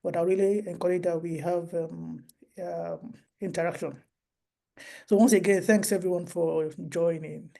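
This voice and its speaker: A woman hosting an online book discussion over a video call, speaking in a semi-formal, halting and deliberate way. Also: on the low side, steady tone, gravelly, warm tone.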